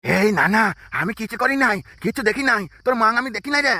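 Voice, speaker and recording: A man voicing a lecherous old village grandfather in a comic cartoon, gravelly and rough, blustering defensively. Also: high-pitched, lively intonation, fast talker, bright, forceful tone, no room sound, clean studio sound, exaggerated voice. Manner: blustering, shamelessly protesting.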